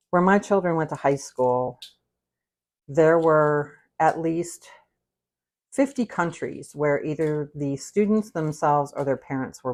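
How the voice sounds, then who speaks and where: A woman in her sixties with an American accent, chatting casually in a candid, meandering way, often interrupting herself as she hosts a cooking livestream. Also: low voice. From United States. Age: 60s. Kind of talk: casual rambling livestream chat while cooking.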